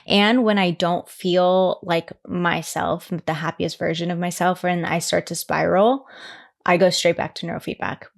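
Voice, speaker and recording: A woman's voice: on the low side, moderate pace, vocal fry. A young woman, a podcast guest, telling a personal story in casual chat, full of fillers. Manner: chatty, animated storytelling with many fillers. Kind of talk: casual conversation.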